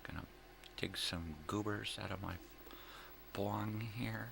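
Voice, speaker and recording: Slow, gravelly low-voiced man chatting casually. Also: fairly even intonation. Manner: repeats himself, muttering to himself. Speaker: vlog host. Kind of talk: rambling casual morning chat. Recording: clear background noise, room ambience.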